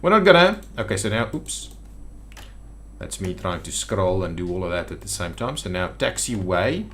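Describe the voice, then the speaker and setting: Low-voiced man muttering through gameplay. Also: big pitch swings, moderate pace, fairly bright tone, slight background noise. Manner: thinking aloud, muttering to himself. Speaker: gaming video host. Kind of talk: casual gameplay commentary. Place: indoors at a computer.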